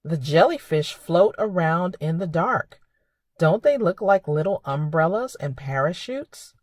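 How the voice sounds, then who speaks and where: A woman hosting a preschool storytime, speaking in simple, expressive phrases for young children. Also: on the low side, a little slow, no room sound, studio quality. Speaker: children's librarian and storytime host. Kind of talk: preschool storytime reading and narration.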